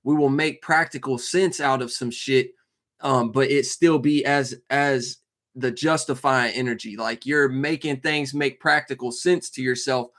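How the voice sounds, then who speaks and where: A man's voice: mid-range voice, fairly bright tone. A man hosting his own talk channel, speaking in a loose, profane monologue full of filler phrases. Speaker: host and tarot reader. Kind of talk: casual talk monologue to viewers.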